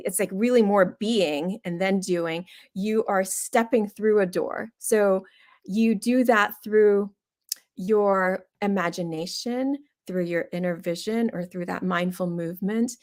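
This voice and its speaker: A woman explaining a mindfulness exercise in a conversational way, thinking aloud in short, halting phrases. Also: medium pitch. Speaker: doctor and show host.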